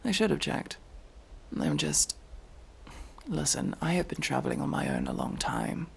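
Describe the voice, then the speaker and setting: A man playing a guarded but kind armored adventurer in a fantasy roleplay audio drama, gravelly, dry and gently teasing. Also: fairly high pitch, huge pitch swings, normal speed, full character voice. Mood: annoyed. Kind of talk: immersive roleplay audio drama, one-sided conversation.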